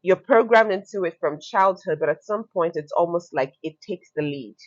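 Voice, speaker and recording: A woman's voice: fairly low pitch, normal speed, dry recording. A woman from Nigeria with a Nigerian accent, a guest on a podcast interview, talking earnestly and reflectively and repeating her key points. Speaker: fashion entrepreneur guest. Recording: dull lo-fi sound.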